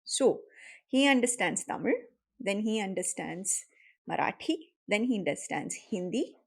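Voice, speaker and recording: A woman's voice: mid-range voice, lively intonation, measured pace, creaky, slightly rough. A middle-aged woman with an Indian accent, co-hosting a podcast and talking earnestly and reflectively with her daughter. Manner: reflective, earnest, laughs easily. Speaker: podcast co-host, mother. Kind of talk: casual conversation.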